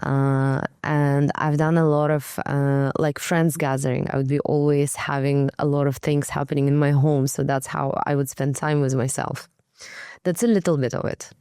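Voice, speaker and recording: A woman's voice: deep voice, little pitch movement, moderate pace, mellow, smooth, no echo, studio quality. A woman from Kazakhstan whose first language is Russian, speaking as an interview guest in a hesitant way, with many fillers as she searches for words. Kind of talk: conversational interview.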